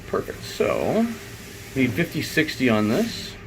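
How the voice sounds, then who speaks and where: Male tech tutorial presenter, mid-pitched, informal and unscripted, thinking aloud over a faint room hum. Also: wildly swooping pitch, measured pace. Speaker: IT installer giving a software tutorial. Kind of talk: unscripted step-by-step screen walkthrough.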